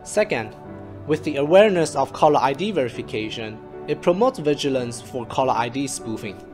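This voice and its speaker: Guy reading out his research project, formal n measured, normal pitch, music in the back. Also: lively intonation, a little mellow. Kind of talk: scripted narration, explainer presentation. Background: instrumental music.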